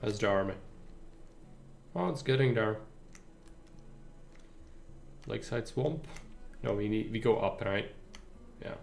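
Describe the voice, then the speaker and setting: A man streaming a game live, chatting in a calm, relaxed way with his viewers. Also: on the low side, moderate pace, gravelly, soft background music. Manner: relaxed, chatty, addresses chat. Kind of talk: casual live gameplay commentary.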